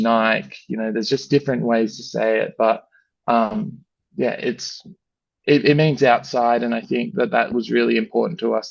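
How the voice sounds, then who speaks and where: An Australian man with a gravelly, creaky voice talks in a flat, even tone, loose and rambling, full of fillers. The sound is dry and a little muffled, as if close to a modest mic in a dead room. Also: medium pitch, no echo. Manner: relaxed, rambling, heavy with fillers like "you know" and "like". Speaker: brand founder, guest. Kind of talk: casual interview chat.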